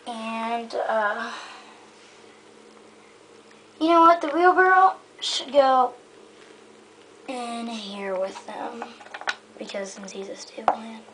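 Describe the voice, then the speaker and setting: A woman giving a casual, rambling show-and-tell in a hesitant, halting way that often trails off. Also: fairly high pitch, a bit bright. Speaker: hobbyist giving a toy horse stable tour. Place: indoors in a room at home. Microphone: handheld camera held close by the speaker. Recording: noisy amateur home camera recording.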